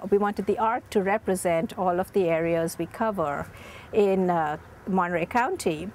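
A woman's voice: lowish voice, normal speed, a little mellow, slight background noise. A woman in her forties from the library staff, telling an interviewer about the bookmobile with enthusiasm, recorded outdoors beside a bus. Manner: enthusiastic, storytelling.

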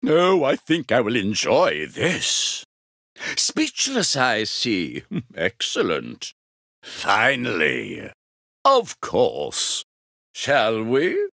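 A man's voice: mid-range voice, huge pitch swings, very slow, gravelly, bright, forceful tone, dry recording, clean studio sound, full character voice. A man voicing a grandiose, greedy, scheming villain for a video game, imperious and theatrically polite. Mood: smug. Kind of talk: video game character voice clips.

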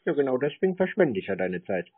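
A man on a casual prank call, teasing and playing slow on the uptake. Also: mid-range voice, big pitch swings, husky. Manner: teasing, feigned slow-wittedness. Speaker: scam-baiting call recipient. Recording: muffled narrow phone-like sound.

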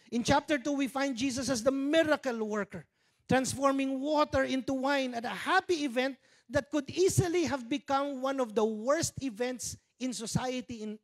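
Filipino pastor with a handheld mic. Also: male voice, very high voice. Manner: conversational, mixing in Tagalog asides and jokes. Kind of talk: sermon. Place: church stage with dark curtains.

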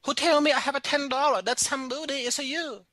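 Brief: a man playing an irate restaurant owner lady, high and bright, broken English, stammering, hurling insults, dry close sound. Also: quick pace, no room sound. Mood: indignant. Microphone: phone call.

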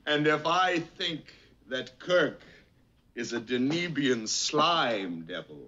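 A man playing a belligerent alien warrior, deliberate and contemptuous, in 1960s television style. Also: mid-range voice, lively intonation, sharp tone, a little hiss or hum. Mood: taunting. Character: sneering, belligerent male alien warrior picking a fight. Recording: dull old TV soundtrack with music.

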